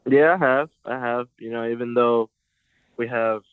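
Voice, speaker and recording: A man's voice: medium pitch, normal speed, smooth, dry recording. A young man from Puerto Rico, a college football player, chatting casually as a guest on a phone interview, with plenty of "you know" and "like". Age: young adult. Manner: relaxed, casual, uses fillers like "you know". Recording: tinny phone line.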